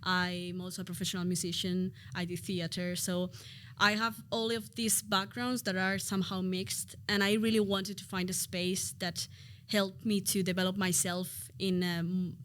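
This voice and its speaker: A woman's voice: fairly low pitch, flat delivery, normal speed. A woman in her twenties from Spain, whose first language is Spanish, chatting as a podcast guest, thinking aloud with fillers. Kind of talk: podcast interview.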